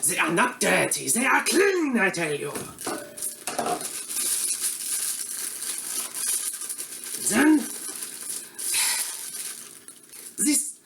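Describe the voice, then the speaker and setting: A woman playing a bumbling, shady male Eastern European TV cook who speaks slow, broken English and gets suspiciously defensive. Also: medium pitch, very animated, gravelly, recorded from a distance, noisy background. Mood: deadpan. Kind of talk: comic cooking show parody. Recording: roomy, from the mic, faint ambience.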